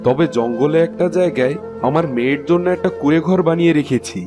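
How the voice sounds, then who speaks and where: A man's voice: a little high, fast, close to an everyday voice. A man voicing an anxious fairy-tale king, a fond husband and father, earnest and pleading in a cartoon. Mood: worried, tender. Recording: music behind the voice. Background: music.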